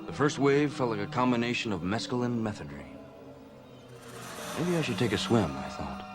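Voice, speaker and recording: A man's voice: mid-range voice, sing-song, normal speed, natural voice. An actor playing an eccentric, drug-crazed man who rambles through a film narration. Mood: dazed, manic. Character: drug-crazed man recounting a bizarre binge. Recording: voice over loud music. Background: music.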